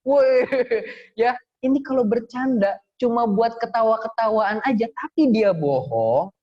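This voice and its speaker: A man's voice: very high voice, lively intonation, a little slow. A man telling religious stories to children in a small prayer room, playful, quizzing the kids and laughing often. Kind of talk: lively storytelling lesson for children. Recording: dull lo-fi recording.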